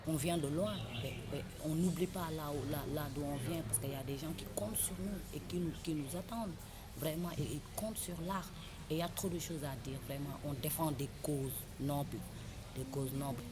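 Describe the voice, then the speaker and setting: Young Senegalese woman rapper, Senegalese French accent, deep voice, impassioned interview, noisy recording. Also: normal speed. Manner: impassioned, exhorting, repeats her points. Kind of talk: earnest interview talk. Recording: noisy background ambience.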